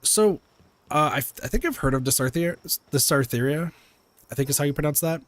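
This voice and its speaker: A man's voice: fairly high pitch, expressive, moderate pace. Soothing-voiced man rambling on stream. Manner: rambling, many fillers and restarts; mild swearing. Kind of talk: casual chat with viewers while gaming.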